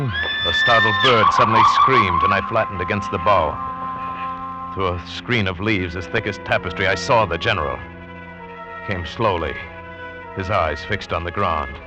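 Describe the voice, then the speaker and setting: An actor playing a hunted, desperate castaway, narrating his ordeal with tense, deliberate intensity in a 1940s radio drama. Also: deep voice, natural voice. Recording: dull vintage 1940s radio recording. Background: dramatic music.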